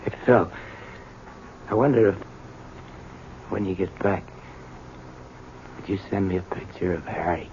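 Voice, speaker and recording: An actor playing a frail, sick old convict, humble and wistful, speaking haltingly and meekly in a 1970s radio drama. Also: male voice, sits low, creaky, a little mellow. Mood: wistful, pleading. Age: older. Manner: halting, meek. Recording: dull, noisy old radio broadcast recording.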